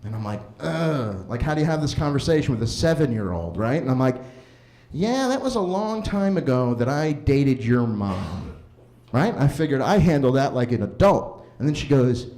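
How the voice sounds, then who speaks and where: A man in his late thirties doing a stand-up set at an open mic in a basement, telling stories animatedly and jumping into high voices for quoted lines. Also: very animated, moderate pace, darkish tone. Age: 30s. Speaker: stand-up comedian. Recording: roomy basement echo.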